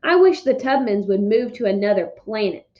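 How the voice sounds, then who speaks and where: A woman teacher giving instructions and reading aloud to pupils with emphatic, deliberate phrasing. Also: medium pitch, expressive, dull audio. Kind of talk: instructional read-aloud, scripted narration.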